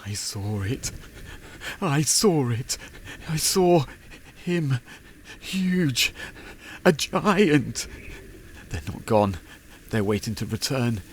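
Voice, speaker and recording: A man's voice: medium pitch, very animated, drawn-out words, long pauses. An actor playing a terrified male field researcher losing his mind in a found-footage horror drama, raving in repeated fragments. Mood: dazed awe. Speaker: field recordist. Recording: noisy field recording, loud roaring background.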